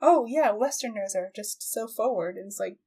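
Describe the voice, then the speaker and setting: A woman hosting a podcast, chatting casually in a halting, giggly way as she recaps a show. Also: mid-range voice, dark tone, slightly rough. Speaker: podcast host. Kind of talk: casual chat, recapping an anime.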